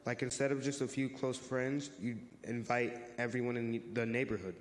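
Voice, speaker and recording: Casting: earnest male youth for church reader's theater, played by a man, explanatory and friendly, mid-pitched, heard in a reverberant hall. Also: level tone, moderate pace, natural voice. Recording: echoey hall with long reverb.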